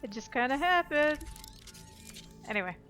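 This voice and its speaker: A woman gamer chatting loosely as she plays, rambling with lots of fillers. Her voice sits a bit high, and clear game music plays under her. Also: lively intonation, normal speed, fairly bright tone. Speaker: let's play gamer. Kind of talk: casual game commentary.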